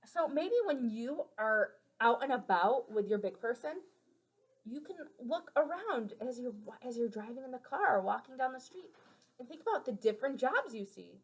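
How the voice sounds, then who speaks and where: A woman's voice: a little high, animated, normal speed, breathy edge. A woman teacher making conversational comments for young children during a read-aloud, prompting them in simple phrasing.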